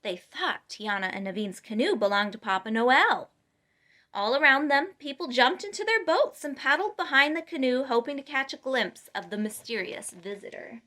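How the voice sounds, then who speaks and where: A woman reads a children's storybook aloud. Her voice is bright and forward, sitting a little high, and she delivers the narration with clear emphasis and expression. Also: animated, moderate pace. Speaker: storytime reader.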